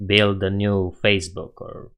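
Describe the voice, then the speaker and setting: A man's voice: low-pitched, little pitch movement, unhurried, a bit bright, clear, resonant tone. A young man with a Romanian accent, casually chatting with viewers on a coding live stream, laughing often and thinking aloud.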